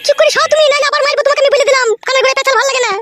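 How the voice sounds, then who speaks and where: A woman voicing a bossy, sharp-tongued young wife in an amateur comic cartoon, scolding curtly with foul-mouthed threats. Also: very high-pitched, steady tone, racing. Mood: menacing, furious. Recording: loud noise behind the voice.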